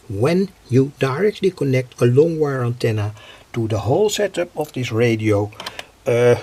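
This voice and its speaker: Husky, gravelly man explaining, slow and halting. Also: on the high side, dark tone. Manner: halting, piecemeal phrasing with pauses. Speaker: hobby electronics video maker. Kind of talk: informal hands-on technical explanation.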